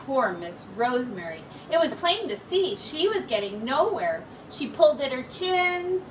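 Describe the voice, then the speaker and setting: A woman teacher reading a picture book aloud in slow, expressive storytelling. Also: high voice, recorded from a distance, slight background noise, poor audio.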